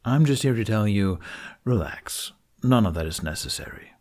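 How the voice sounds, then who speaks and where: Guy with a lowish voice doing a chatty tutorial voiceover, pretty blunt, home usb mic. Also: expressive, moderate pace, a little mellow. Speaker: tutorial presenter. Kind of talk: informal scripted tutorial voice-over. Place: living room, home recording. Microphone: budget USB microphone on an arm.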